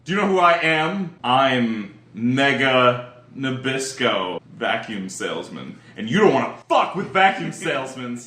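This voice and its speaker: A man puts on a cocky mobster for a tabletop game. He is boastful and menacing, with a forceful, bright tone. The room around him echoes. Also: medium pitch, sing-song, a little slow. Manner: boastful, intimidating.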